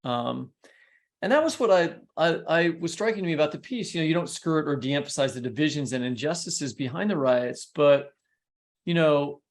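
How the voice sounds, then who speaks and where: A man's voice: on the high side, expressive, normal speed. A man hosting a podcast interview, talking conversationally and working his way through long, discursive questions. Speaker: podcast host.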